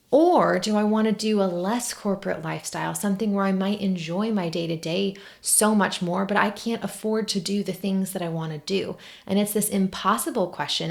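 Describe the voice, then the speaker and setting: A woman's voice: mid-range voice, creaky. A woman in her twenties hosting a podcast, talking casually and candidly at home, full of "like" and "oh my gosh" asides. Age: 20s. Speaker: podcast host. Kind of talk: casual solo podcast monologue. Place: at home by a window.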